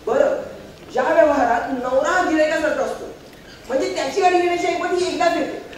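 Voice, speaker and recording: A man's voice: very high voice, steady tone, a little fast. A man playing a reluctant young bachelor, cynical about marriage, declaiming argumentatively in a stage play. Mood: wry, declaiming. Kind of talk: theatrical stage monologue and dialogue. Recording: echoey stage hall from far, faint noise.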